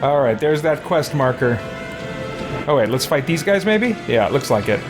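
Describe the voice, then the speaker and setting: A man giving casual let's play commentary, reacting out loud and talking to the game's characters. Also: mid-range voice, sing-song, moderate pace. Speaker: gaming show host. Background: video game music.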